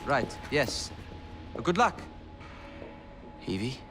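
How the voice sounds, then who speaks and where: An actor playing a courteous, reserved Victorian gentleman in a video game, formal and slightly hesitant. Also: medium pitch, big pitch swings, drawn-out words, over music. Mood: polite, reserved. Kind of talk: dramatic game cutscene acting.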